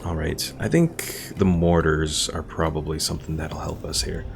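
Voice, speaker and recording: A man's voice: a bass voice, fairly even intonation, moderate pace, creaky, over music. A man giving casual, easygoing live game commentary, thinking aloud and reading out chat as a streamer.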